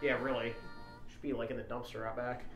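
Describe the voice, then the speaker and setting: A man's voice: medium pitch, level tone, brisk, soft background music. A man co-hosting a read-along, reading narrative prose aloud and chatting casually, picked up by a small lapel microphone. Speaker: co-host of a read-along show. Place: echoey room. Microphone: small lapel microphone shared by the group. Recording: roomy echo, faint noise, small lapel mic.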